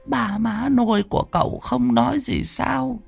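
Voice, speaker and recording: Male narrator doing a shaky, tearful old woman, high and soft, slow, over faint music. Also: fairly even intonation, vocal fry, slightly rough, no room sound, heavily acted. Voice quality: weak, trembling, stammering. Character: frail old woman lottery seller, tearful.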